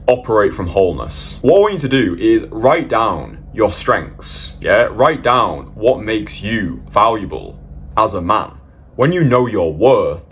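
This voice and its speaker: A young British man with a fairly low voice, speaking as a dating coach in a scripted voice-over. He talks straight at the listener, blunt and matter-of-fact, dropping a casual "bro" as he lays out his points. A faint hiss sits under the voice. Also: fairly bright tone.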